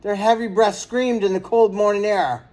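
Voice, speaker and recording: Man, high-pitched voice, children's storybook read-aloud, expressive, dramatic delivery. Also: moderate pace. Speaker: storybook reader.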